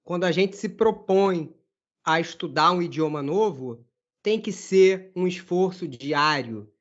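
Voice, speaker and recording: A man from Brazil hosting his podcast and teaching Portuguese, friendly and measured as he closes out an interview. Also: sits high, expressive. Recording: dull lo-fi sound.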